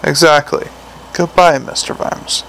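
A man voicing a calm, measured male troll elder. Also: a little high, creaky, a little hiss or hum, low-quality recording.

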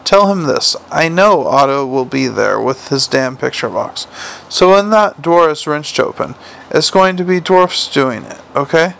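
A man's voice: fairly high pitch, animated, unhurried, creaky, a bit bright, full character voice. A man voicing a weary, blunt male watch commander with a clipped, dry way of talking. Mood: brusque.

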